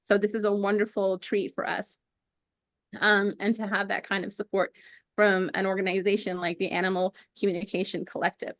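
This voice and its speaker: A woman's voice: mid-range voice, no echo, clean studio sound. A woman speaking for an animal sanctuary, thanking the organizers in a grateful, slightly hesitant way. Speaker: sanctuary representative. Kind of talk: casual thank-you speech.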